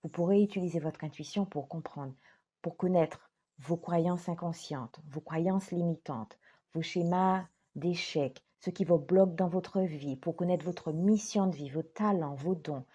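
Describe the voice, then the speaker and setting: A woman life coach speaking straight to her viewers in a gentle, earnest way, stressing her words slowly. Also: on the low side, slightly rough. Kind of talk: direct-to-camera talk.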